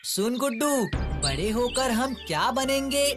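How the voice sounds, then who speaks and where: A sensible young cartoon girl, gentle and full of advice. Her voice is a little high, with loud music playing under it. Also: animated, unhurried. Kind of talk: cartoon voice acting.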